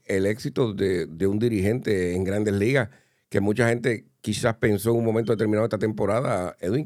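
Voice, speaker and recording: A man hosting a sports radio show, asking long, drawn-out questions. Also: on the low side, a little mellow, no echo, studio quality.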